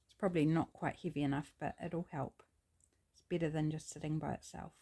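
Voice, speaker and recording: A woman's voice: low-pitched, a little fast. A woman with a New Zealand accent and a scratchy voice, chatting casually while she works on a craft project. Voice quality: gravelly, scratchy. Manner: chatty, relaxed, thinking aloud. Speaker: craft channel host.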